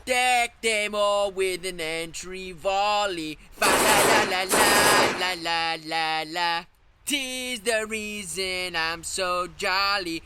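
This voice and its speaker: Slow, taunting gangster hitman. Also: sits high, heavy background noise. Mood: gloating. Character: male gangster hitman, menacing, taunting, gleefully jolly. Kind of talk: comedic radio-play acting.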